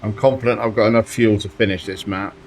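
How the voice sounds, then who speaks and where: A man with a slight sore throat giving relaxed commentary while playing a driving game, thinking aloud as he goes. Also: on the low side, fast, soft background music. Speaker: let's play host. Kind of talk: casual gameplay commentary.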